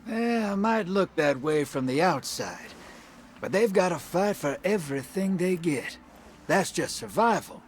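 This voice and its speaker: Sly drifter, slow swooping drawl. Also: male voice, sits high, animated, slight background noise. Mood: wry, reflective. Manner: folksy, streetwise drawl. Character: worldly, sly male drifter, weathered survivor. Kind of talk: video game dialogue acting.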